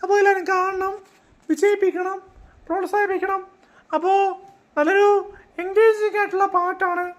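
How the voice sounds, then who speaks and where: Excitable comedy-mimicry promoter, done by a man, extremely high and shrill, gushing, repetitive. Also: moderate pace, long pauses, muffled recording.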